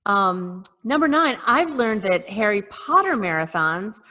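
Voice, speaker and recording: A woman co-hosting a podcast over a video call, reading out comic lists and chatting casually with a deadpan joking manner. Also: medium pitch, unhurried. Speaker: podcast co-host. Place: remote group video call. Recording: roomy video call with long reverb.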